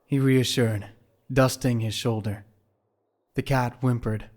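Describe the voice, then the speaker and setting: A man's voice: medium pitch, steady tone, takes it slowly, darkish tone. A man giving scripted, dramatic story narration for an audio drama.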